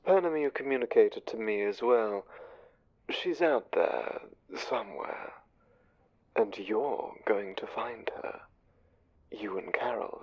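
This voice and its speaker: A man playing a smooth, commanding, conniving spymaster angel in an audio drama, speaking with measured, condescending menace. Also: fairly low pitch, dry recording, dull audio, exaggerated voice. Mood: sly, menacing. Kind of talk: audio drama roleplay, one side of a conversation.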